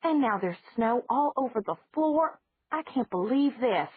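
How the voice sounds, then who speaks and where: A woman's voice: fairly high pitch, expressive, unhurried, slightly rough, dry recording, pitched above the performer's own voice. A woman voicing a fussing, scolding middle-aged aunt in an audiobook. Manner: scolding, raised. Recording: dry, phone-like narrow sound.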